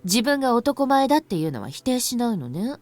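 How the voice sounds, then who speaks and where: An affectionate mother from an anime visual novel. She has a high, animated voice and talks to her teenage son in a playful, teasing way. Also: racing.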